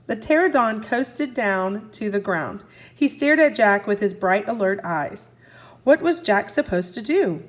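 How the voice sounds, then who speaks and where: A woman teacher reading a story aloud to young children, expressively, pausing to recap and ask the listeners questions. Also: medium pitch. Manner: expressive, asks listeners questions and answers for them. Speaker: first grade teacher.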